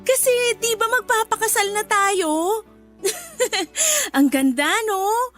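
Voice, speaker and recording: Shrill, overwrought jilted bride. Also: female voice, very animated, takes it slowly, a bit bright, soft background music, exaggerated voice. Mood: manic, needy. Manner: overwrought, pleading, wailing. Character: distraught, unstable jilted bride, a young mother. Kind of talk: Tagalog radio drama acting.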